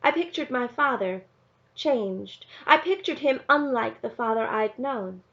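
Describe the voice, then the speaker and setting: A woman reading a novel aloud in an expressive storybook narration style. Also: fairly high pitch, unhurried, muffled recording.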